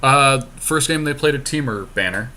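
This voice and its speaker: A man's voice: mid-range voice, lively intonation, normal speed, pushed and bright. A man co-hosting a podcast, giving casual play-by-play of a card game with dry, teasing banter. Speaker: podcast co-host.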